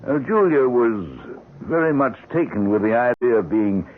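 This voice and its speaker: A man playing a concerned but blunt, suspicious family doctor, measured and insistent, in an old-time radio mystery. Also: medium pitch, lively intonation, natural voice. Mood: firm, probing. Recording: muffled narrowband radio drama.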